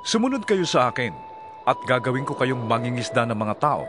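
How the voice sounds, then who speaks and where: Man playing a firm calm teacher figure, steady declaring lines, music bed. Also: medium pitch, a little fast, lightly acted.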